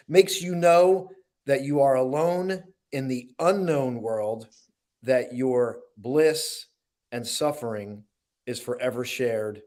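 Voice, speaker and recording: A man co-hosting an inspirational talk show, speaking at an ordinary mid pitch.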